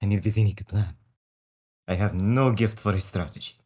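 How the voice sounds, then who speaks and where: Man acting brooding swordsman, stubborn, drunk, vengeful, husky voice, script read. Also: on the low side, fairly even intonation, brisk, darkish tone. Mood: determined. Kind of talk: live table read of a screenplay over video call. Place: home rooms, online video call.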